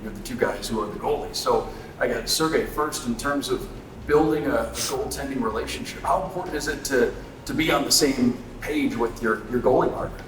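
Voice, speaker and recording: A man hosting a conversational sit-down sports interview, asking measured, wordy questions. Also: mid-range voice, distant mic, noisy background. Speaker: interviewer, sports radio play-by-play host. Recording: echoey reverberant room, off mic, music.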